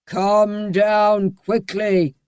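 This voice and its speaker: A woman reading the part of a cruel, menacing husband, drawing out his threats slowly and heavily. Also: deep voice, warm tone, no room sound.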